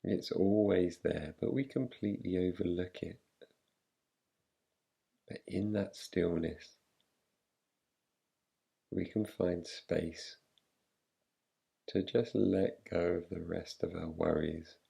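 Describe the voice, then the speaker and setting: A man leads a guided relaxation with a calm, soothing delivery. His voice is very low and creaky, with a dark, mellow tone. He lets long pauses settle between his phrases. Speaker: meditation guide. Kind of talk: guided relaxation, yoga nidra meditation.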